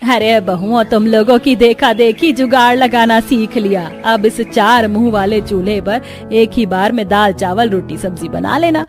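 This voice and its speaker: A woman's voice: fairly high pitch, moderate pace, few pauses, soft background music, close to an everyday voice. A woman voicing a weary, practical older village mother-in-law in a cartoon story. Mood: pleased.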